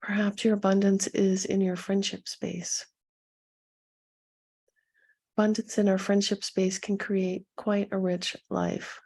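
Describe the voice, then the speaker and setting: A woman leading a guided meditation as a coach, speaking softly and soothingly to her listeners with a gentle, husky delivery. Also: medium pitch, little pitch movement. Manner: soothing, gentle, unhurried instruction with pauses.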